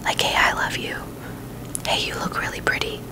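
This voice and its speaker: Woman, whispered ASMR, breathy and unvoiced, informal rambling chat, gentle reassuring manner. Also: normal speed, poor audio. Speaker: ASMR video host.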